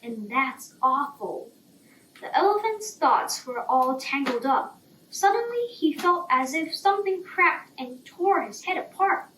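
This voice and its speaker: A woman reads a bedtime story at a slow, unhurried pace. Her pitch is high and moves around expressively, and she sounds slightly distant in a roomy space. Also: animated, a bit bright. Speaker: storybook reader. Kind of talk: bedtime story narration, scripted. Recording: roomy, distant, faint background noise.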